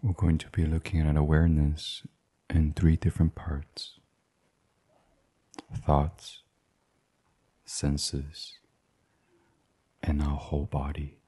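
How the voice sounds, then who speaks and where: A man gently and soothingly reading a guided sleep meditation in a breathy, husky voice, as the host. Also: rumbling low, level tone, slow pace, pauses often.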